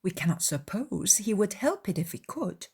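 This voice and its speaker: A thoughtful young gentlewoman voiced by a woman reader in a dramatized classic novel. She sounds polite and questioning. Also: mid-range voice, very animated, normal speed, creaky, slightly rough, full character voice. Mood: puzzled.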